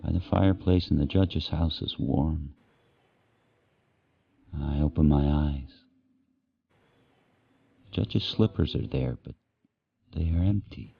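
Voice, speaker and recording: An actor playing a grim, watchful sled dog who narrates his harsh life in spare, deliberate sentences for a radio play. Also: very deep voice, gravelly, highs cut off, exaggerated voice. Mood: hushed, bleak. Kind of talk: first-person dramatic narration in a radio play. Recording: faint background noise.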